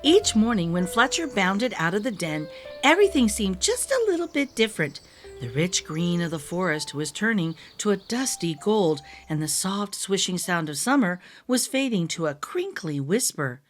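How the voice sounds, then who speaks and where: A woman reads a children's story aloud with careful, expressive delivery. Her mid-pitched voice moves in lively swings over background music. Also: moderate pace. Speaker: children's book narrator.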